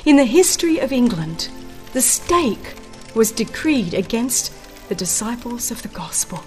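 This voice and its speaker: A woman narrates an audiobook in a very slow, solemn voice of medium pitch. She phrases each thought deliberately, as in a dramatized reading of religious history. Soft music plays behind her. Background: background music.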